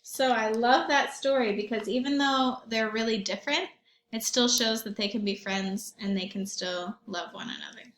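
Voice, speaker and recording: A woman reading a storybook aloud to young children like a teacher, expressively, pausing for simple questions and comments. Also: on the high side, steady tone, a bit bright, slight background noise. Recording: roomy echo.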